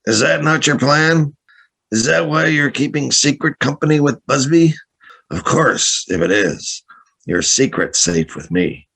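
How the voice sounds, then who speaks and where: A man playing a sly, scheming boat captain in a radio play, insinuating and mock-polite with a gravelly voice. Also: mid-range voice, expressive, a little slow, fairly bright tone, exaggerated voice. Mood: smooth, probing. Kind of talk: radio drama acting with confiding narration.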